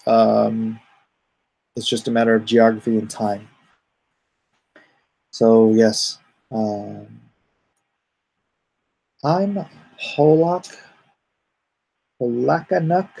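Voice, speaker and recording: A middle-aged man streaming live from home, reading chat aloud and answering it in an easygoing, even-tempered way. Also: medium pitch, vocal fry, rough recording. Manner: calls himself soothing and even-tempered; reads chat comments aloud and answers them.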